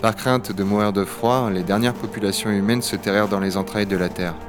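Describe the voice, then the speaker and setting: A man's voice: fairly low pitch, fairly even intonation, a little fast, over music, natural voice. A man playing a rugged, wry dragon hunter of a frozen future in a science-fiction audio drama. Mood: grave, reflective. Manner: gravely reflective narration, familiar offhand banter. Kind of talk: French audio-fiction acting and first-person narration.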